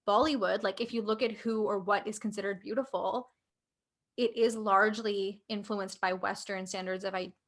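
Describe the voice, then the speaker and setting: A woman's voice: mid-range voice, steady tone, normal speed, a bit bright. A young woman with a Canadian accent, co-hosting a podcast and talking things through with many fillers. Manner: thoughtful, filler-heavy, many "like"s. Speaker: podcast co-host. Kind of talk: casual conversation.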